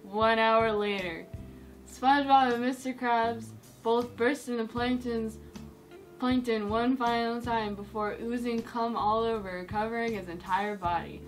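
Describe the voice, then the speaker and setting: A woman's voice: on the high side, steady tone, a little slow, faint music behind, poor audio. A young adult woman reading a mock bedtime story aloud in a giggly manner. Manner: giggly, breaks into laughter while reading. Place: indoors, against a dark cloth backdrop.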